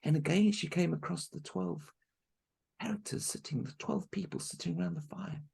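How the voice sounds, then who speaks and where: A man's voice: on the high side, moderate pace, gravelly, a little mellow, breathy edge. A man telling a folk tale aloud to a group, with chatty asides to his listeners. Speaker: storyteller.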